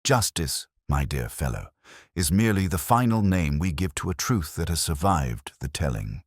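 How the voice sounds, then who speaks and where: A man voicing a cool, cerebral Victorian detective with a formal, deliberate manner, in an audiobook story. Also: a bass voice, very animated, breathy edge, no echo, clean studio sound, heavily acted. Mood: philosophical.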